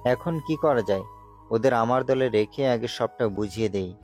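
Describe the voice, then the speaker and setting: A man's voice: mid-range voice, moderate pace, darkish tone, soft background music, lightly acted. A man playing an ambitious, bossy gangster plotting his rise in an audio story. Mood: scheming. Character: ambitious scheming male gangster underboss, commanding. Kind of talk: amateur Bengali audio-story acting.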